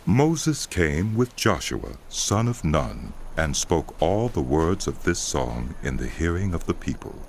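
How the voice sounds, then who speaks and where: A man's voice: a bass voice, sing-song, measured pace, few pauses, slight background noise. A man narrating dramatized scripture from a script.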